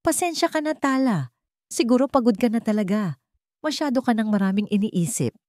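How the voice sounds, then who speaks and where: A woman's voice: a little high, lively intonation, brisk, darkish tone, no room sound, clean studio sound, pitched above the performer's own voice, lightly acted. A woman voicing a scheming young fiancée in a story, sugary and falsely meek. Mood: sweet, feigned hurt. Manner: sugary, feigned meekness.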